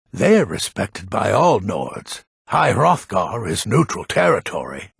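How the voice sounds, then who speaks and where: Gravelly, grumpy old fantasy game man. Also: fairly low pitch, no echo, clean studio sound, exaggerated voice. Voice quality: raspy, gravelly. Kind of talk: fantasy video game character acting.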